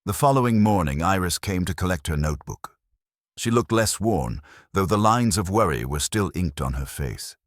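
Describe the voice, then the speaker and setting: A man narrating a first-person detective story in a measured, wry way. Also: deep voice, lively intonation, no room sound, clean studio sound. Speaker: audiobook narrator.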